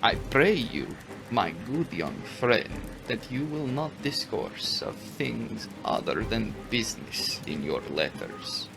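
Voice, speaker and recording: A man performs an old vampire count for a gothic audiobook. The delivery is slow and formal, courteous yet menacing, with a creaky edge and sweeping intonation. Music plays underneath. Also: on the low side, very animated, heavily acted, rough recording. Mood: commanding, smoothly insistent. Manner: slow, formal, deliberate with dramatic pauses. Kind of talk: dramatic audiobook character reading.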